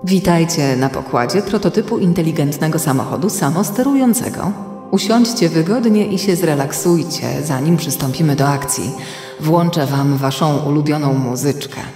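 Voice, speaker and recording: An actress playing a calm, soothing female artificial intelligence in a radio drama. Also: low-pitched, moderate pace, reverberant, long reverb tail, soft background music, close to an everyday voice. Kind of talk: scripted radio drama acting.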